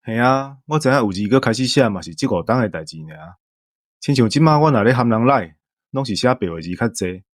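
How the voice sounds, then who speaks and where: A man's voice: mid-range voice, expressive, brisk, no room sound, studio quality. A man with a Taiwanese accent hosting a podcast, explaining things to listeners. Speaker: podcast host.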